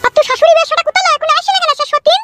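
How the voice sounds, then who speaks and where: A woman's voice: very high-pitched, very fast, pushed and bright, soft background music. A woman voicing a furious, protective village mother in a cartoon comedy, scolding and cursing shrilly. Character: furious foul-mouthed village mother, fiercely protective of daughter.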